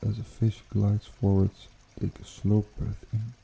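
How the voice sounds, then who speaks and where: A man hosting an ASMR guided meditation in a hushed, unhurried, soothing way with gentle pauses. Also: fairly low pitch, level tone, gravelly, husky. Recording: water trickling behind the voice. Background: pond water bubbling and trickling.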